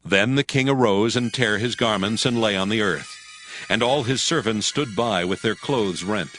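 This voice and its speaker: A man's voice: lowish voice, normal speed, fairly bright tone, poor audio. A man giving a solemn scripted narration of scripture in archaic English. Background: faint music.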